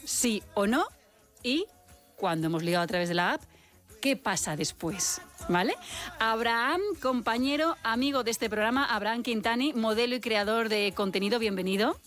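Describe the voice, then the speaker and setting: A woman's voice: on the high side, moderate pace, a bit bright, soft background music. A woman hosting a radio talk show, putting teasing questions to her guests and laughing often. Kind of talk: lively radio chat.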